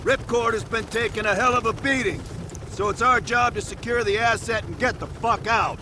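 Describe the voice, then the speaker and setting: A man's voice: very high-pitched, moderate pace, background noise. An actor playing a cool male CIA team leader with a dry, clipped, sardonic way of talking. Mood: wry. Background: film score.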